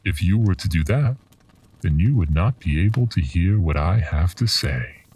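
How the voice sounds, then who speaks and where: A man acting a mysterious, ominous storyteller in a horror radio drama, speaking in a portentous, deliberate, taunting way. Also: deep voice, lively intonation, a little mellow, slightly rough, recorded from a distance. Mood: taunting, menacing. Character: mysterious, ominous male storyteller collecting dark legends. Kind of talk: slow theatrical horror radio drama narration.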